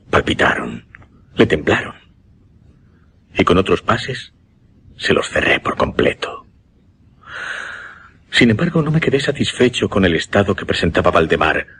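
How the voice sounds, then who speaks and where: An actor playing a solemn hypnotist recounting a macabre experiment in a Spanish radio drama, with a Castilian accent and measured, dramatic pauses. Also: male voice, deep voice, a bit bright, a little hiss or hum, exaggerated voice.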